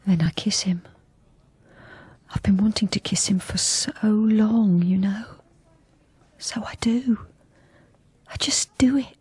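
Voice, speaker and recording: A middle-aged wife in a radio play, timid and wistful. Her voice is medium-pitched and slightly husky. She speaks haltingly, as if confiding in the listener. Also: darkish tone, dry recording. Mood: tender. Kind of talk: radio drama acting with first-person narration.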